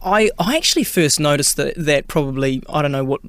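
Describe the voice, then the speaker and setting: A man's voice: on the high side, animated, fairly quick, fairly bright tone, smooth, dry recording. A man from New Zealand with a New Zealand accent, chatting as a singer guest in a radio interview, with many fillers and restarts.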